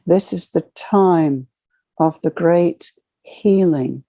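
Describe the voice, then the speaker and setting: A woman leading a channeled guided meditation, speaking as a solemn spiritual guide in measured, ceremonial short phrases. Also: on the low side, warm tone, dry recording.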